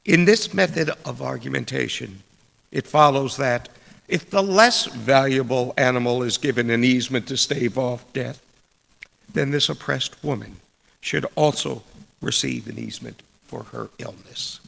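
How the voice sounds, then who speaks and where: A man delivering a scripted church sermon with deliberate, emphatic phrasing and dramatic rhetorical pauses. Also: mid-range voice, vocal fry, a bit bright. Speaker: preacher reading a sermon. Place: large indoor church space. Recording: echoey hall with long reverb.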